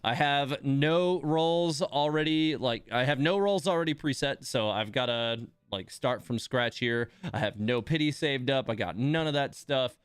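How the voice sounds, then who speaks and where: A man's voice: medium pitch, moderate pace, hardly any pauses, smooth, dry recording. A man hosting a game livestream, chatting casually with wry, mildly grumbling informality. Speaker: game streamer, host. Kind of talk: casual livestream commentary while playing.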